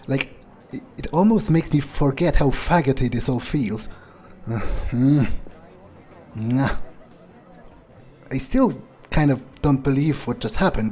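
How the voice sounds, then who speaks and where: A man doing an improvised in-character gameplay monologue, thinking aloud in a halting, musing way. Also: mid-range voice, warm tone. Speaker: gamer playing in character.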